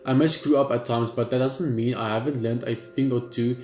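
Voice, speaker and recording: A man hosting a gaming video reads on-screen story text aloud, haltingly, repeating words and adding casual asides. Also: mid-range voice, fairly even intonation, darkish tone, a little hiss or hum. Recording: echoey room with faint game music. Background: game music.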